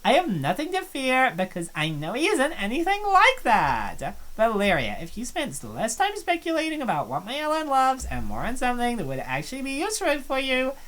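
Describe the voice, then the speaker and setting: Woman as vain mean-girl student in a visual novel, high and sneering. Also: wildly swooping pitch, normal speed, hardly any pauses, a bit bright, full character voice. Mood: haughty. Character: haughty snobbish college girl, vain and catty. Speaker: game streamer reading voice lines.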